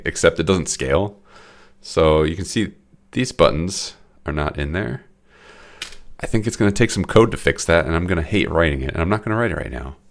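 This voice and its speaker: Congested man with a very deep voice. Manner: rambling, self-deprecating, many restarts. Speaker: game developer streaming his work. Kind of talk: casual live-stream commentary.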